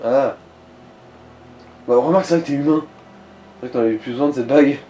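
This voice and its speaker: A man's voice: mid-range voice, quick pace, dark tone. A man giving casual, wry, slangy commentary while gaming with a partner. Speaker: let's player and co-commentator. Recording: echoey room with faint noise.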